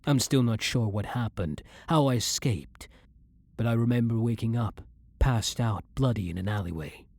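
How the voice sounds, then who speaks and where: A man's voice: medium pitch, moderate pace, gravelly, darkish tone, no room sound. A man playing a centuries-old vampire hunter who is himself a vampire, blunt and brooding, in a dramatic ASMR roleplay monologue. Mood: haunted.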